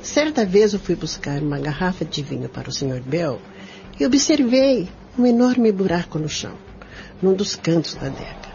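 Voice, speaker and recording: A woman's voice: fairly low pitch, animated, normal speed, creaky, slight background noise. A woman playing a practical, reassuring lady's companion who stays unafraid, in a radio drama. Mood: calm, reassuring. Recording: dull radio play recording, loud music.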